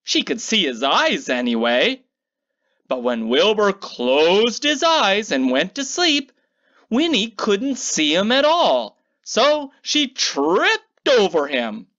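Slow, animated woman reading a storybook. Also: medium pitch, muffled recording. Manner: theatrical, drawn-out emphasis on key words. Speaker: children's storybook reader. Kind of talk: read-aloud picture book narration.

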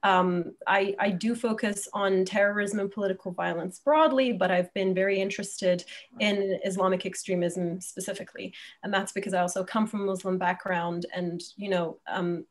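A woman's voice: mid-range voice, steady tone, moderate pace. A woman in her thirties from Egypt speaking conversationally as an academic guest on a video call, thoughtfully rephrasing as she goes. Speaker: guest academic, terrorism scholar. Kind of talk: conversational interview answer. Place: indoor room at home, video call.

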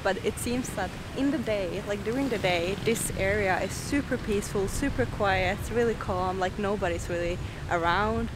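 A young woman from Finland talks straight to her handheld camera, chatty and bubbly, with plenty of filler words. Her pitch sits in a normal middle range for a woman. Steady traffic and street noise run clearly behind her outdoors. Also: moderate pace. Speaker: travel vlogger. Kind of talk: casual travel vlog. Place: rooftop terrace outdoors, later busy street. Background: traffic and street ambience.

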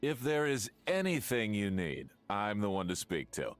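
A man's voice: fairly low pitch, big pitch swings, normal speed, clean tone, faint music behind. A man voicing an eager, pompous small-town mayor in a video game, effusive and self-important. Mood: effusive, self-satisfied. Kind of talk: video game character acting.

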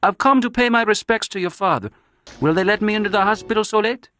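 Earnest, high-voiced young Italian immigrant. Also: male voice, fast, bright, forceful tone. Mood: eager, respectful. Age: young adult. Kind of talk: dramatised audiobook dialogue.

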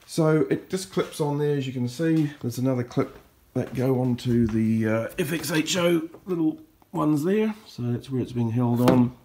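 A man's voice: mid-range voice, normal speed, hardly any pauses, dark tone, a little hiss or hum. A man in his fifties with a New Zealand accent, explaining things informally and unhurriedly in a casual fishing vlog. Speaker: fishing vlogger.

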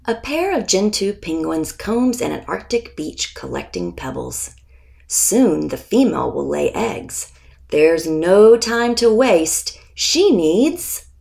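A woman reading a children's picture book aloud as its author, deliberate and expressive, with pauses before key words. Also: mid-range voice, creaky.

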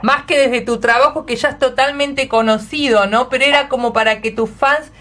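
An Argentine woman hosts a chatty radio interview. Her voice is fairly high and very bright, and she talks effusively, gushing as she goes. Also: steady tone, moderate pace. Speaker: radio host. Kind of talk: lively unscripted radio chat.

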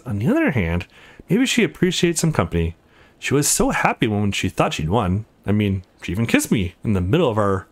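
Man reading a sincere young male visual novel hero, conversational, lively pitch, middle register. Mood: pensive. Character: earnest, protective young man, game's hero.

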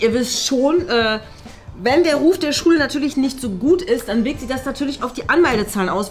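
A woman's voice: on the high side, natural voice. A woman acting a condescending, exasperated former headmistress in a comedy sketch, lecturing pointedly. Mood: indignant, condescending. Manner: pointed, lecturing, ends phrases with "ne?". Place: small bright meeting room.

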